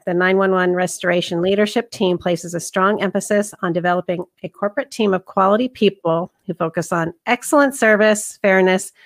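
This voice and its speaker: A woman hosting a health coaching podcast, reading a scripted intro and then chatting with a guest in an upbeat manner. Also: mid-range voice.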